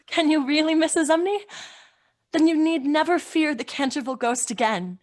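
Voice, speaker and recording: A woman playing a gentle, earnest teenage girl in an old-time radio drama, soft and sincere. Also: high voice, normal speed, natural voice. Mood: solemn, tender.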